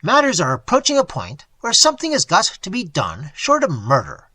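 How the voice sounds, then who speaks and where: Guy putting on a high shrieky fussy little professor, yelling and jumpy, voice swings all over, bit breathy, dry sound. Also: huge pitch swings, normal speed, no echo, exaggerated voice. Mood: furious. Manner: shrill, angry, shouting. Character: excitable little nearsighted male scientist.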